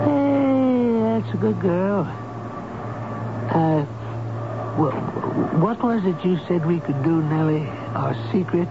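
A devoted old elevator man in a radio drama. He talks slowly, in a rambling, folksy, halting way, gentle but stubborn, through heavy noise on a dull old broadcast. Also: fairly high pitch.

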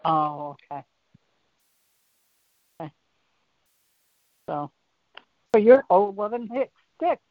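A woman's voice: mid-range voice, lively intonation, takes it slowly, pauses often, dry recording. A woman chatting casually as a talk show panelist. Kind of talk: casual chat. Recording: dry, dull lo-fi audio.

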